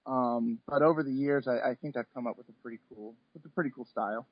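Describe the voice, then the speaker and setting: A man's voice: medium pitch, fast talker, breathy edge, no room sound. A man in his thirties speaking casually as an interview guest, in a hesitant, rambling conversational way. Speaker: interview guest, comic and anime artist. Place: remote call from home. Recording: slightly dull, lo-fi call audio.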